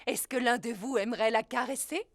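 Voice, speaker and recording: Woman as a high-voiced, kindly hamster vet. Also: a little fast, no room sound, studio quality, lightly acted. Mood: encouraging, informative. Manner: encouraging, explanatory. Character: kindly female hamster veterinarian teaching young children. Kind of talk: preschool cartoon dubbing.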